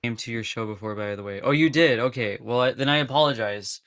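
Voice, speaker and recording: A man's voice: medium pitch, fast, fairly bright tone, no room sound, studio quality. A man from California chatting casually on a livestream, rambling and breaking into nervous laughs. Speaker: streamer.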